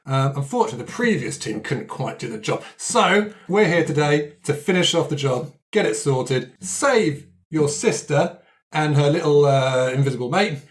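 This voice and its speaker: Man playing a brash, higher-voiced ghoul hunter. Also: lively intonation, natural voice. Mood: breezy resolve. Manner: blunt, casual, halting. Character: brash, matter-of-fact axe-carrying ghoul hunter. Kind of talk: improvised tabletop role-play.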